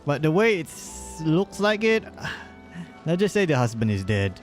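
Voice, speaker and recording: A man's voice: fairly high pitch, big pitch swings, measured pace, soft background music. A man streaming live, giving casual, slangy, rambling commentary on a game.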